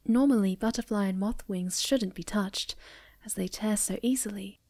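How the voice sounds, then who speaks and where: A woman playing a sweet, timid young moth girl in an ASMR roleplay, speaking softly and breathily with hesitant stammers. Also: mid-range voice, normal speed, close to an everyday voice. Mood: shy, warm. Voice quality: soft, breathy, often near a whisper.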